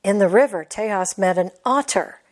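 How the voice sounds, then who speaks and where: A woman's voice: medium pitch, moderate pace. A woman reading a scripted children's story as a storybook narrator. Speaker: storybook reader.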